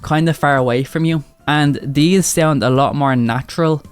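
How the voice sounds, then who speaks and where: A man's voice: moderate pace, smooth. Guy doing youtube commentary, voice bounces up a lot when he stresses stuff, faint music behind. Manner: animated, jumps up in pitch for emphasis. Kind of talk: casual video commentary.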